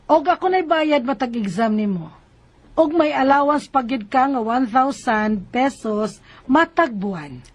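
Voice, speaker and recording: An actress playing a reassuring, businesslike unmarried schoolteacher with a Cebuano accent in a radio drama. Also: female voice, sits high, animated, a little slow. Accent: Cebuano Filipino. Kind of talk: Filipino radio drama acting.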